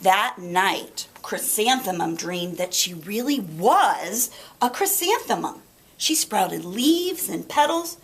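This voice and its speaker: A woman narrates a children's storybook aloud. Her delivery is dramatic and emphatic, with a medium pitch that moves around in a lively way. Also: slow pace. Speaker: storybook reader.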